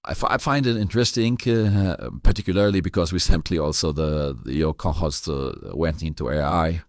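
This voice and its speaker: Deep-voiced man in halting interview chat. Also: no echo, studio quality. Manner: halting, repeats and rephrases words. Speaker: interviewer, podcast host.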